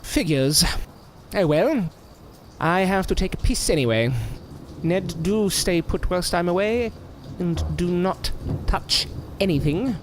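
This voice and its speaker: A snobbish master with a high, swooping voice, over faint background noise. He is fussy, flowery and condescending, an exasperated gentleman from a radio play. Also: expressive, slow. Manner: pompous, fussy, flowery phrasing with British idioms. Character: haughty, exasperated male master, condescending and foul-mouthed. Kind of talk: audio drama acting.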